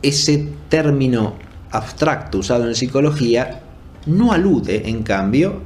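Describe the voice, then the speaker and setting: A man giving an unscripted academic lecture in a formal, didactic and deliberate way, rephrasing as he goes and often checking in with short tag questions. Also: mid-range voice, lively intonation, a little mellow, a little hiss or hum. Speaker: philosophy lecturer.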